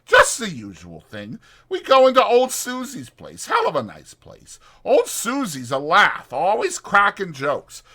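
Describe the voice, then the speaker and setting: A man voicing a lively, gossipy young ranch hand in an audiobook, with folksy, slangy, ungrammatical speech. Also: high-pitched, a little slow, few pauses, voice pushed up, full character voice. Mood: eager, boastful.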